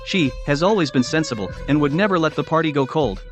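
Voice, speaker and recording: A man acting as a brash executive with a slightly high voice. He sounds arrogant and sneering, in dubbed melodrama style. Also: lively intonation, fast talker, soft background music, natural voice. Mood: smug. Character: arrogant, scornful disgraced male executive.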